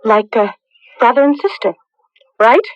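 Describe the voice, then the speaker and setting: A woman's voice: fairly high pitch, animated, measured pace, no echo. An actress playing a proud, bitter young woman in an old-time radio drama, sarcastic and intense. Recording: dull, lo-fi radio drama recording.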